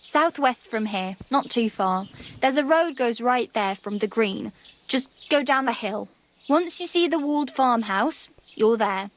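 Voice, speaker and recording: A woman's voice: a little high, animated, measured pace. An actress playing a frank, teasing and helpful young village woman in a video game. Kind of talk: video game character dialogue.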